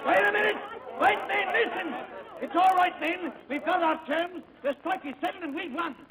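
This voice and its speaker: An actor playing a rousing strike leader, shouting declamatory lines to workers in 1940s radio drama style. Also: male voice, very high voice, moderate pace. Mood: triumphant. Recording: noisy old radio, crowd behind.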